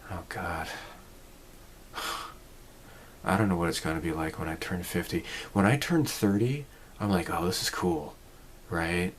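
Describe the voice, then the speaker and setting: A man's voice: deep voice, a little slow, long pauses, slight background noise. A man in his forties talking casually to camera, rambling and reflective, with many fillers and restarts. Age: 40s. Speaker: channel host. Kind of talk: casual personal vlog talk.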